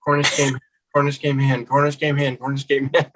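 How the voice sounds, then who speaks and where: A middle-aged man in casual book chat, co-hosting and talking in a thoughtful, unhurried way. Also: mid-range voice, monotone, a bit bright, slight background noise. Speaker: booktuber co-host.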